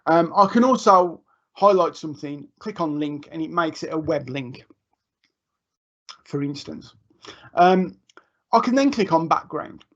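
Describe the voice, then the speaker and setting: A man giving a relaxed, informal live software tutorial to teachers, with frequent ums and restarts. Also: on the high side, a little slow, long pauses. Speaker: education technology trainer. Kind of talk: live screen-share tutorial. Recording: muffled lo-fi online stream audio.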